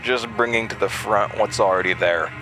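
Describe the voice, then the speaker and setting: A man's voice: lowish voice, normal speed, over music, lightly acted, low-quality recording. An actor playing a malevolent entity with a man's borrowed voice, mocking and theatrical in a horror audio drama. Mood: menacing. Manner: mocking, sardonic, theatrical, toying menace.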